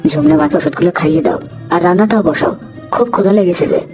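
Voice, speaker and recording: A woman voicing a caring village father in a cartoon, brisk and instructing. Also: medium pitch, big pitch swings, a little mellow, close to an everyday voice. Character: hungry, caring adoptive father, plain villager. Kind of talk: cartoon voice acting. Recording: music behind the voice.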